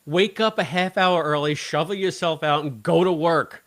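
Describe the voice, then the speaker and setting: A man's voice: fairly high pitch, moderate pace, a bit bright. A middle-aged American man co-hosting a podcast in casual, dry, joking conversation. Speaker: podcast co-host. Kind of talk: casual banter about a show.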